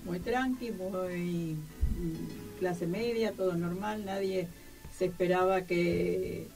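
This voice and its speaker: An interview guest, a woman with a somewhat low voice. She speaks in a measured, reflective way. Music plays clearly in the background. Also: fairly even intonation, poor audio. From Greater Buenos Aires, Argentina. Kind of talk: conversational interview.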